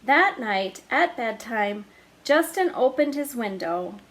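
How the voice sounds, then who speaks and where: A woman storyteller reading a children's picture book aloud in a slow, deliberate way. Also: on the high side, sing-song, a bit bright, slight background noise.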